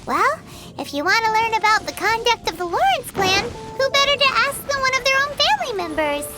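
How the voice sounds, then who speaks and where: A woman voicing a tiny, excitable, blunt childlike fairy companion in a video game, with a squeaky, expressive voice. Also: a little fast. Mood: curious, puzzled. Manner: expressive, refers to self in third person. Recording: loud game music behind.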